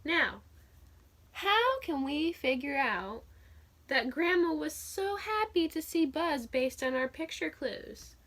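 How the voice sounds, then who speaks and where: A woman teacher reading a picture book aloud to children, sounding out letters and vowel sounds clearly and asking her listeners questions. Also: high-pitched, big pitch swings, slow, a bit bright. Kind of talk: children's read-aloud phonics lesson.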